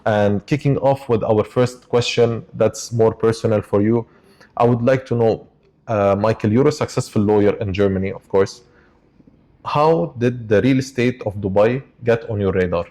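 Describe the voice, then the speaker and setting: Male podcast host, low pitch, mellow tone, upbeat and eager. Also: moderate pace. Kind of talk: podcast interview. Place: office.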